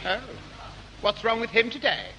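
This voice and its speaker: A prim, fussy English gent with a high, bright voice. He is timid and hesitant, acted in old radio sitcom style with slight background hiss. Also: sing-song, moderate pace, muffled recording, full character voice. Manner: hesitant, prim, nervous chuckling. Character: fussy, timid, genteel amateur dramatics producer. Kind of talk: 1960s British radio sitcom acting. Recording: faintly noisy 1960s radio broadcast.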